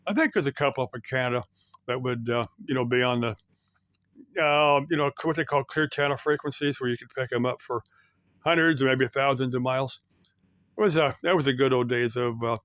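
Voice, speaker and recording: A man's voice: medium pitch, fairly bright tone, no room sound. Rambling middle-aged American man, dry sound. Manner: halting, rambling, repeats and backtracks. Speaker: YouTube host. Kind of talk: casual explanatory monologue.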